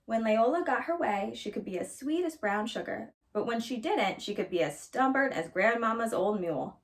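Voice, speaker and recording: A woman reading a children's storybook aloud as a storyteller, in an expressive narrating style. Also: a little high, moderate pace.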